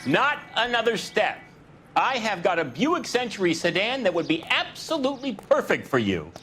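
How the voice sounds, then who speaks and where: A slick used-car salesman from a video game, pitched a little high and swinging widely as he goes. He is folksy and wisecracking, always ready with patter, with faint music behind him. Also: big pitch swings, moderate pace, sharp tone, exaggerated voice. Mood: jovial sales patter. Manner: jokey, folksy patter, calling others son. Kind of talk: video game character acting.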